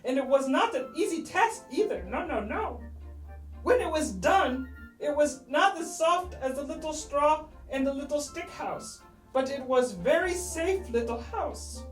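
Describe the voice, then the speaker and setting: A woman's voice: sits high, expressive, a little slow, reverberant, recorded from a distance, faint music behind, rough recording. A woman telling a children's story in a dramatic, playful way, drawing out key words.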